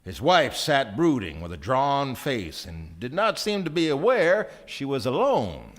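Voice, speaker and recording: An actor as storyteller, narrating a live radio drama with measured, theatrical phrasing. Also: male voice, mid-range voice, very animated. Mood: storytelling. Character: storyteller narrating a wry small-town tale. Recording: live stage recording before audience.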